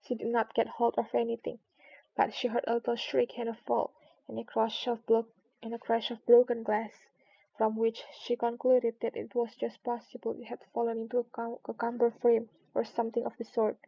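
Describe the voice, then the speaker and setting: A woman narrating a storybook in an expressive read-aloud style. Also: fairly high pitch, moderate pace, gravelly, slightly rough, no echo. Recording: dull lo-fi recording.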